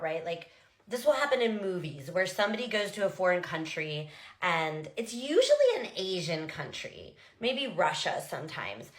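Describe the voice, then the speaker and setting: A woman's voice: on the low side, fairly bright tone, echoey room. A chatty American woman and language teacher, explaining things casually and exaggerating sounds to demonstrate them. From United States. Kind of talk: casual talking-head explainer.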